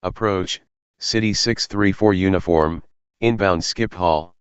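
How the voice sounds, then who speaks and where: A man's voice: low-pitched, measured pace, clear, resonant tone, dry recording. A man giving clipped aviation phraseology over an air traffic radio transmission. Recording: dull, band-limited air traffic radio.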